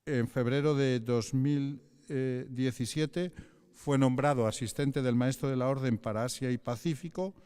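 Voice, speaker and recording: A man's voice: mid-range voice, dark tone, smooth. A man giving a formal introduction of a guest speaker, reading with small hesitations and self-corrections. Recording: reverberant lecture hall.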